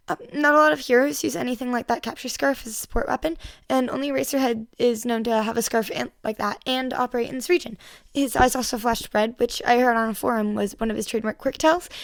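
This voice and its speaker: A woman's voice: on the high side, no echo, natural voice. A woman voicing a nervous, polite fourteen-year-old boy who stammers, rambles and apologises, in an audio fan story.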